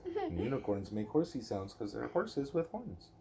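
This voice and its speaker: A young man, a parent reading a storybook aloud to a child, expressive and gentle, slipping into casual asides. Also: medium pitch, normal speed, vocal fry, slight background noise. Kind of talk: storybook read-aloud mixed with casual family chat. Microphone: phone recording, held or propped nearby.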